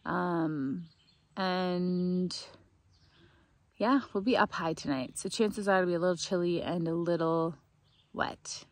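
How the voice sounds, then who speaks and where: A woman's voice: fairly low pitch, takes it slowly, long pauses, no echo. A young woman talking in a chatty, informal, rambling way, like a casual video diary recorded on a phone out in the woods. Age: young adult. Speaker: vlogger hiking a long trail. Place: outdoors in woodland. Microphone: phone at arm's length. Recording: faint outdoor ambient noise.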